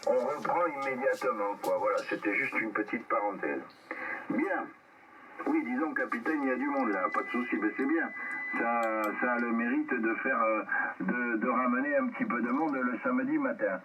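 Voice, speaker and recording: A man's voice: mid-range voice, normal speed. A man chatting casually as an amateur radio operator, rambling and repeating his words over a radio transmission. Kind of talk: casual radio contact chat. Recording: noisy, muffled, distant amateur radio transmission.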